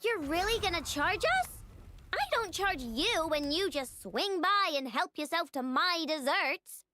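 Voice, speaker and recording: A little girl inventor, high and childlike. She is blunt and earnest, with lively swings in pitch, in video game style over faint music. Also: measured pace, no room sound, exaggerated voice. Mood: indignant.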